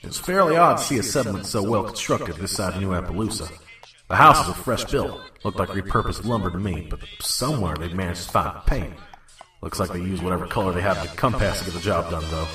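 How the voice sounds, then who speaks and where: A jaded pony trader narrates his wasteland travels , as acted by a man, folksy and drawling. The voice is low and forceful. It sounds roomy and set back from the mic. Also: expressive, quick pace. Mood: wry narration. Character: world-weary male pony trader wandering a wasteland, gruff narrator. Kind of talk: scripted first-person narration and audio drama. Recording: roomy, echoing, distant mic.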